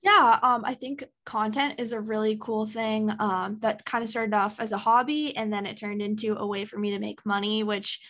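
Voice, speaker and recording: A woman's voice: mid-range voice, fairly even intonation, moderate pace, hardly any pauses, a bit bright. Chatty young woman guest, lots of ums. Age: early 20s. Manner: chatty, many ums and likes. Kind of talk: casual podcast interview.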